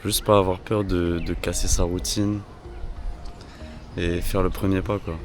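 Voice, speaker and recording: A young man from France in a casual travel vlog, talking in a relaxed, rambling way with slang and restarts. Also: deep voice, a little slow, over music. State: slightly hoarse from a cold. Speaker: vlogger.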